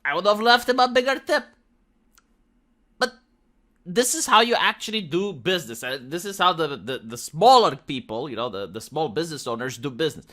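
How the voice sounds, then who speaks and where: An opinionated host delivers casual commentary with emphatic, exasperated energy. The voice is bright and forceful, and the pitch moves around in a very lively way as each argument is pressed. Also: moderate pace.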